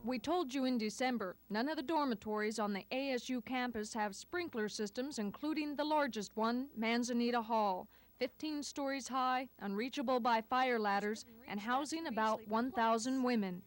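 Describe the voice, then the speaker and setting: A woman's voice: on the high side, moderate pace, hardly any pauses. A woman TV news reporter narrating a scripted report on an archival 1980s broadcast.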